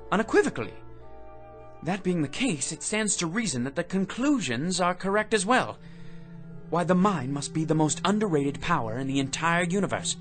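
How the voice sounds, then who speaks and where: An actor playing an obsessive office clerk who mutters to himself over a book and builds to manic fervor. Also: male voice, a little high. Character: obsessive middle-aged male office clerk. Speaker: lead actor in radio drama. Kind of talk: radio drama acting. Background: music.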